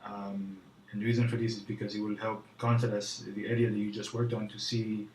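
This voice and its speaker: A man putting on a French accent as he presents an informal how-to tutorial in a hesitant, halting way. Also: lowish voice, flat delivery, darkish tone. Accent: put-on French. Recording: roomy echo, faint background noise.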